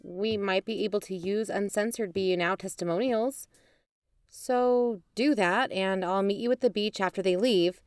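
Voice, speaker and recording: A woman's voice: mid-range voice, normal speed, smooth, no room sound, clean studio sound, faint music behind, lightly acted. A woman acting a blunt, pragmatic young investigator in a naturalistic audio drama, businesslike and skeptical.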